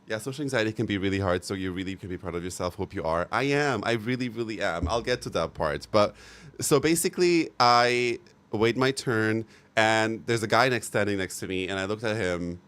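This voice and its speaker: Gushing, chatty man with a low voice. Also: a little fast, clear, resonant tone. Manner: gushing and expressive, with many fillers and repeated "so good"; chatty, frank and sometimes profane. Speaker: livestream host. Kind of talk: casual chat with viewers.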